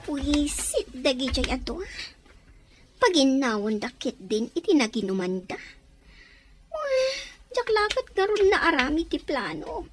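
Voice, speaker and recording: A lovesick, jealous young rival, as a woman acts her out, with an Ilocano accent. Her voice sits high and leaps wildly up and down. She speaks slowly, lingering on every resentful word in a melodramatic audio drama. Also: big pitch swings, faint music behind. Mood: bitter. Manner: slow, bitter, swinging between sobs and defiance. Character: jealous young woman obsessed with a taken man.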